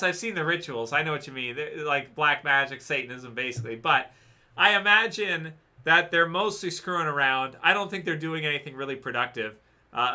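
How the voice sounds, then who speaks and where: A man's voice: on the high side, bright, forceful tone. A man hosting a casual livestream, rambling through viewer questions with many fillers and restarts, saying he is losing his voice. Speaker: livestream host answering chat.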